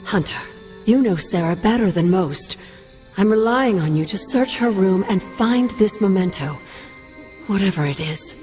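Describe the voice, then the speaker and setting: A woman's voice: medium pitch, animated, measured pace, a little mellow, faint music behind, lightly acted. An actress playing the ghost of a stern but caring older woman mentor in a video game cutscene, speaking formally and with command. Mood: instructing.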